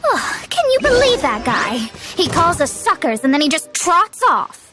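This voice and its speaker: Proud high-voiced young astrologer. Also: female voice, big pitch swings, moderate pace. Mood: indignant. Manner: articulate, haughty, dramatic. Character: proud, bookish young female astrologer, self-assured and fiery. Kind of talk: scripted video game voice acting. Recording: music behind.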